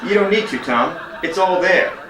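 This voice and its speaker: Man acting a high-voiced, pushy flatterer. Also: moderate pace, noisy background. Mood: smooth, insistent. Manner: ingratiating, insistent. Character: pushy, flattering male office colleague, relentlessly upbeat. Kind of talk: sitcom-style radio play acting. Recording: roomy, echoey sound.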